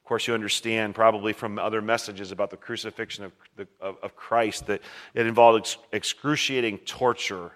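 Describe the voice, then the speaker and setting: A man preaching a sermon to a congregation, speaking emphatically and deliberately and repeating phrases for stress. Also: on the low side, steady tone, fairly bright tone.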